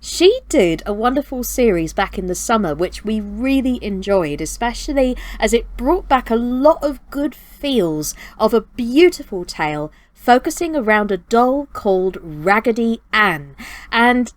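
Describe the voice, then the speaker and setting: A woman's voice: on the high side, lively intonation, unhurried, no echo. A woman hosting a children's storytime channel, giving a chatty, earnest update straight to her viewers. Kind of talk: chatty scripted update to camera.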